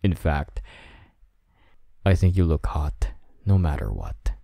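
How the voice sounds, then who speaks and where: Deep, gravelly, slow-talking man. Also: very animated, dry recording, clean studio sound. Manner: soft, intimate and teasing, with low whispers. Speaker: voice actor in a roleplay audio.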